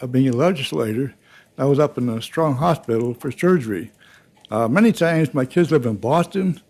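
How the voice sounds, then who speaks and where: An older man, slightly hoarse, giving plain, earnest farewell remarks at a county board meeting. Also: mid-range voice, darkish tone. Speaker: retiring county legislator.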